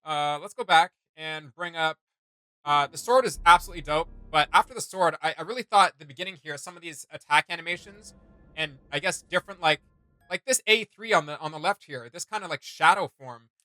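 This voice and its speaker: Guy with kinda high voice doing chill slangy reaction commentary, music playing behind him. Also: a little fast, pushed and bright, no room sound, poor audio. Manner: informal slangy phrasing. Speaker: YouTube reaction host.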